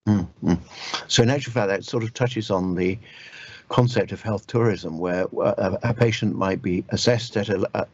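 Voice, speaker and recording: Low, gravelly, husky male interviewer. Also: darkish tone, highs cut off. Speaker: radio health show host, doctor. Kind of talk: interview questioning.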